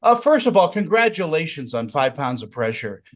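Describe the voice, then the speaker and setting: A man's voice: on the high side, big pitch swings, normal speed. A man interviewing a guest, enthusiastic and complimentary as he asks his questions.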